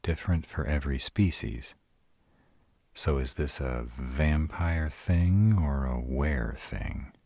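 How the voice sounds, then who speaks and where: Very deep, husky sardonic vampire played by a man. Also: mellow, no echo, heavily acted. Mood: tender, anxious. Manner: sardonic, exasperated, halting when vulnerable. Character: sarcastic brooding male vampire, proud, guarded, secretly smitten. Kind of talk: intimate roleplay audio drama.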